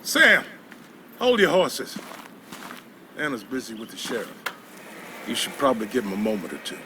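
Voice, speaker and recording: An actor playing a gruff, protective older small-town father with a folksy, plain-spoken manner in a video game. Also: medium pitch, wildly swooping pitch, moderate pace, noisy background. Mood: weary, grave. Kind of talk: video game dialogue acting.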